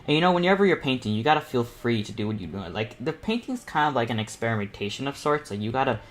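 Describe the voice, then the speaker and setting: A young man with a medium-pitched voice hosts an informal painting lesson. He talks off the cuff, circling back and repeating phrases as he works. His delivery is casual and encouraging, like a peer showing others the ropes. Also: very animated, quick pace. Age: teenager or young adult. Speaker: painting panel host and self-taught artist. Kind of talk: casual live tutorial commentary while painting.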